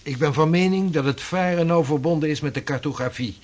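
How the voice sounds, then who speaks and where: An actor playing an earnest 16th-century navigator and mapmaker who talks in a measured, formal way. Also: male voice, fairly high pitch, darkish tone, close to an everyday voice. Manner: measured, formal, old-fashioned speech. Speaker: seafaring cartographer. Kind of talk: radio drama acting.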